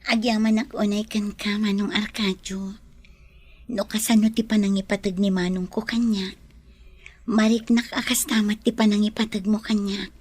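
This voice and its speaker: A woman's voice: mid-range voice, little pitch movement, moderate pace, darkish tone, husky, lightly acted. A woman with an Ilocano accent playing a kind, trusting lady of the house in an audio drama. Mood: grateful, affectionate.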